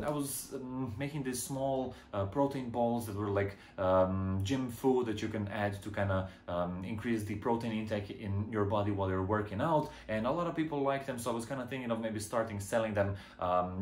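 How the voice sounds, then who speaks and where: A man's voice: fairly low pitch, echoey room. A young man from Croatia with a Croatian accent, talking casually to camera as a vlogger, with lots of ums and uhs. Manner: hesitant, many ums and uhs. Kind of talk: casual vlog talk to camera.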